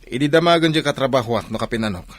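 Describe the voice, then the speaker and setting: A man playing an indignant, gossiping village man with an Ilocano accent, hurried and disapproving, in radio drama style. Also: medium pitch, no room sound, lightly acted. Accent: Filipino, Ilocano.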